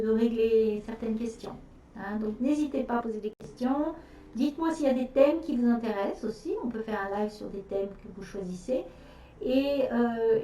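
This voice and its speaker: A middle-aged woman from France chats on a casual live stream, pausing often with fillers and restarting her sentences. Her voice is mellow and slightly high. She sits back from the mic in a room that echoes, with faint noise behind her. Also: distant mic. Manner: hesitant, many fillers and restarts. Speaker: nutrition specialist hosting a live stream.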